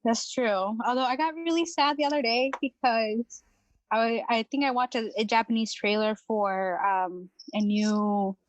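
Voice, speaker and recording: A woman's voice: a little high, unhurried, dull audio, slight background noise. A young woman from Venezuela, a guest on a podcast, talking casually with lots of fillers and frequent laughter. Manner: chatty, laughs often, many fillers. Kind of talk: casual chat.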